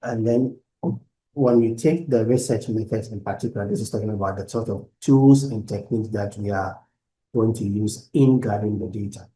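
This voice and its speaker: A man lecturing online from his laptop, explaining research methods in a halting way with many restarts. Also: lowish voice, mellow, low-quality recording. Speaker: university lecturer. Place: at a laptop on a video call. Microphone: laptop microphone.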